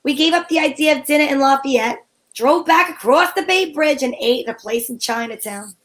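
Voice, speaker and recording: A breezy female amateur sleuth acted by a woman in an old-time radio mystery. Her high voice is wry and sensible, with a take-charge manner. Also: normal speed, fairly bright tone. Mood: narrating, pensive. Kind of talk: old-time radio mystery acting with first-person narration.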